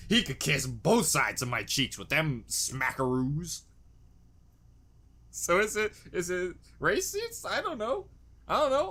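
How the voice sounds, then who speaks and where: A man's voice: fairly high pitch, bright, forceful tone. A man giving casual reaction commentary, joking crudely and exclaiming in exaggerated squeamishness. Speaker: reaction video host.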